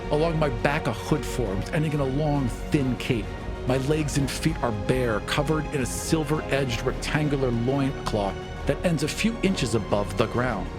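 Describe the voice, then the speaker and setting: A man narrating a tabletop game as game master, in a deliberate, dramatic, descriptive style. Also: mid-range voice. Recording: music behind the voice.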